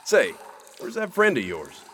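An actor playing a casual, obliging forger among outlaws in a western radio drama. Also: male voice, high voice, wildly swooping pitch, unhurried, a bit bright, slight background noise, heavily acted. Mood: easygoing. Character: agreeable, businesslike male outlaw forger in western.

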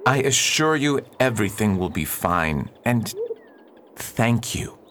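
A man's voice: on the low side, big pitch swings, slow, a bit bright, heavily acted. A man playing an earnest small-town preacher. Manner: earnest, pleading. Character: earnest, upright male preacher, husband. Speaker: reverend at a mission. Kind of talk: amateur radio drama.

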